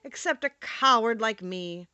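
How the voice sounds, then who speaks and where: A woman's voice: on the high side, lively intonation, a little slow, pushed and bright, clear, resonant tone, dry recording, dull audio. A woman reading aloud and voicing a big male lion who is a sorrowful coward, in a plaintive, self-pitying manner. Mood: mournful. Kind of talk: audiobook character reading.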